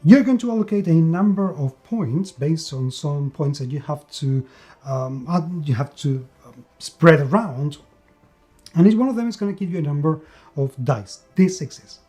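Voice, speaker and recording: A man giving a conversational tabletop game review, emphatic, with repetition and dramatic pauses. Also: a little high, animated, mellow. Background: background music.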